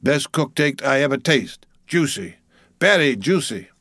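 A man's voice: expressive, a little slow, fairly bright tone, breathy edge, no echo, clean studio sound. A man voicing a sulky ship's cook of about ninety, muttering testily in dialect, in an audiobook story. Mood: sullen. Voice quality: shrill when raised. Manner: muttering, testy, dialect speech.

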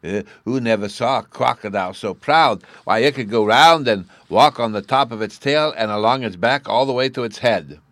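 A man's voice: mid-range voice, normal speed, few pauses, a bit bright, no room sound, pitched above the performer's own voice, close to an everyday voice. A man voicing an eager, stubborn little fairy boy with a babyish lisp, in an audiobook. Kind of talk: audiobook character voice.

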